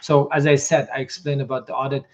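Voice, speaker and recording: A man hosting a live question session as an immigration consultant, reading viewer comments aloud and giving quick, matter-of-fact answers while losing his voice. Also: medium pitch, level tone, highs cut off, slight background noise.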